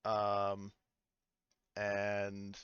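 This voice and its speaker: A man with a fairly low, smooth voice works as game master for an online tabletop session. He improvises hesitantly, thinking out loud with casual swearing. The sound is close, dry and a little dull. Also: completely flat delivery, drawn-out words, no echo.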